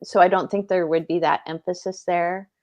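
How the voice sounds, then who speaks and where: A woman with a distinctly low-pitched voice chats as a panelist about books. Her delivery is casual and matter-of-fact, with firm opinions. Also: steady tone, normal speed, a little mellow. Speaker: book discussion panelist. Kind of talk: casual book discussion.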